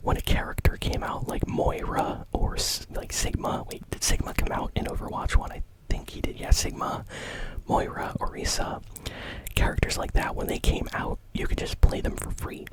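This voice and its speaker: A man whispering casual, conversational ASMR gaming news commentary in a breathy, unvoiced voice. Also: moderate pace.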